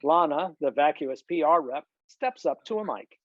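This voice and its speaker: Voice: mid-range voice, animated, normal speed, no room sound. Dry, slightly dull screenplay narrator. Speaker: stage directions reader. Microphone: video call. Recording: slightly dull video call audio.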